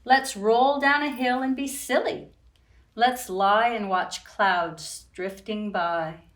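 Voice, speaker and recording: Slow-paced woman reading children's storytime. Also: on the high side. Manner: expressive, addresses young children directly. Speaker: children's librarian and storytime host. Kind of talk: children's storytime read-aloud with sing-song rhymes. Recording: roomy echo, distant from the mic.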